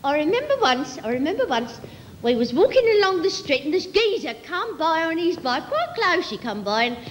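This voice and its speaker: A woman's voice: very high-pitched, big pitch swings, normal speed, big-room sound, slight background noise. A woman performing a comic monologue as a sentimental working-class Cockney, rambling and repeating earnestly while reminiscing. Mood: proud.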